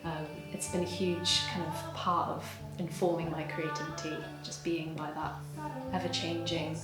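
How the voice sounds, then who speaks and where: A singer-songwriter woman from North Wales, whose first language is English, answering interview questions in a thoughtful, soft-spoken way with frequent pauses. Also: low voice, little pitch movement. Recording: roomy space with music behind.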